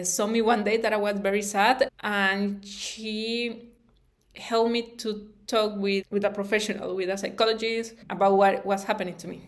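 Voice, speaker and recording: A woman from Costa Rica with a Costa Rican Spanish accent speaks earnestly and informally as a channel host, with non-native English phrasing. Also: mid-range voice. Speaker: YouTube channel host and interviewer. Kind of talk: informal personal talk to camera.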